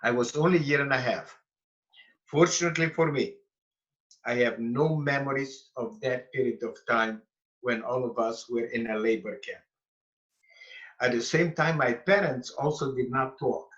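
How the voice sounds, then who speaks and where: A man of eighty, from Bukovina, telling his life story slowly and carefully as a guest on a video call. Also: mid-range voice, fairly bright tone. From Bukovina (Romania/Ukraine). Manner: slow, careful storytelling with pauses. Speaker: Holocaust survivor guest. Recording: dull lo-fi call audio.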